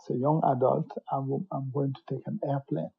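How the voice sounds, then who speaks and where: A middle-aged man from Cameroon, a professor and interview guest, telling his story in a measured way, full of "you know". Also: mid-range voice, little pitch movement, creaky, breathy edge. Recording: dull lo-fi sound.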